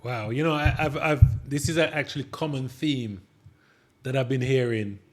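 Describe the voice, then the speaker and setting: Male host, mixed accent with a Jamaican lean, mid-range pitch, chatty, restarts a lot. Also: normal speed. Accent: mixed, partly Jamaican. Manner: chatty, stammering restarts, switches into patois. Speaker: podcast host. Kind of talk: casual interview.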